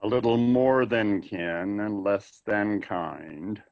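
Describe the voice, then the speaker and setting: A brooding young prince in mourning acted by a man delivers Shakespearean verse. The voice is fairly low and very slow, somber and bitter, weighing each phrase. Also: vocal fry, darkish tone. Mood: wry, bitter. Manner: deliberate, somber. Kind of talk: dramatic reading of Shakespearean verse. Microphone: video call.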